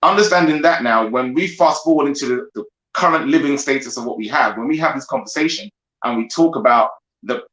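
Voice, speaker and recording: A man with a mid-range voice speaks as a guest on a livestream panel. He builds his point step by step and often pauses to ask "right?" His voice echoes around the room over a video call. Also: medium pitch, fairly bright tone. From grew up in the United Kingdom. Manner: measured, builds his argument with frequent "right?". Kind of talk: discussion monologue.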